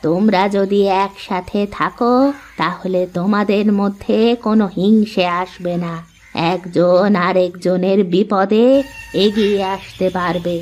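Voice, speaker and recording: Woman acting slow, measured elderly mother-in-law. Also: medium pitch, fairly even intonation, darkish tone, natural voice. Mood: earnest, moralizing. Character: elderly mother-in-law, family matriarch, patient and moralizing. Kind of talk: dubbed moral cartoon dialogue.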